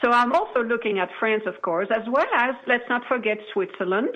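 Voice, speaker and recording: A woman's voice: fairly high pitch, a little fast. Assertive woman guest on dull lo-fi audio. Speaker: podcast producer, interview guest. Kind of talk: conversational interview.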